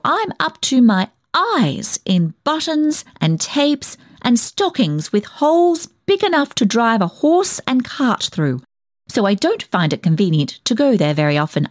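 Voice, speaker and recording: A woman's voice: fairly high pitch, a little mellow, no echo, clean studio sound. A woman playing a gossipy, self-righteous village dressmaker in a comic monologue, chatty, nosy, opinionated and tactless as she rambles from one thing to the next. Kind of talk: comic dramatic monologue, audio storytelling.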